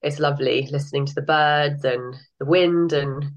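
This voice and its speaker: A woman's voice: low-pitched, fairly even intonation, normal speed. A woman guest on a podcast, a bit nasal from a cold, talking enthusiastically and laughing often. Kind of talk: casual interview chat.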